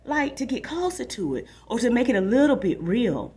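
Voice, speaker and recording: Woman reading as a husky, imaginative young boy. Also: a little high, big pitch swings, normal speed, dark tone, voice pushed up. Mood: dreamy, wistful. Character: young boy narrator, imaginative, restless, longing for adventure. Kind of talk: expressive storybook read-aloud.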